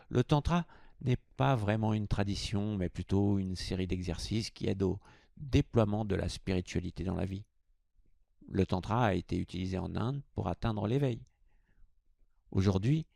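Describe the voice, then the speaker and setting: A man's voice: fairly low pitch, creaky, darkish tone, dry recording, studio quality. A man hosting a spirituality video, speaking to viewers as a presenter. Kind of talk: informal video greeting, then scripted explanatory narration.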